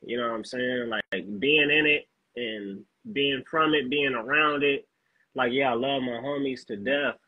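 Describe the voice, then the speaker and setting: A man from Los Angeles, a rapper being interviewed, talking in a laid-back, halting way with lots of fillers. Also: mid-range voice, husky, no echo, narrow, tinny audio. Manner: laid-back, halting, fills with "you know what I'm saying". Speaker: guest rapper. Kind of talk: casual interview chat.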